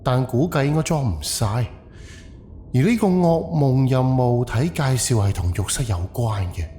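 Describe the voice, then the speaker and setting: A man's voice: medium pitch, normal speed, mellow, slight background noise, close to an everyday voice. A man with a Cantonese accent playing a wary, hot-tempered haunted house owner in an audio drama, muttering bluntly to himself. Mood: wary, pondering.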